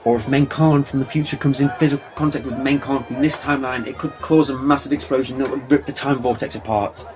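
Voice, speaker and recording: A clever, self-assured time-travelling adventurer, played by a man, speaks at a medium pitch. He explains things with confidence in audio drama style, with music clearly playing behind him. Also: steady tone, fast talker, few pauses, darkish tone, natural voice. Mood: resolute. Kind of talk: fan audio drama acting.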